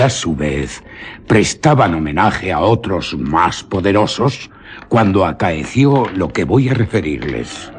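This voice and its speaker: A rustic village guide in a suspense radio play, with a low voice that swings widely in pitch. He has an accent from Spain and recounts a cursed legend gravely and deliberately, over audible background noise. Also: heavily acted. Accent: Spanish from Spain. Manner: grave, dramatic, deliberate storyteller. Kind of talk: radio drama acting.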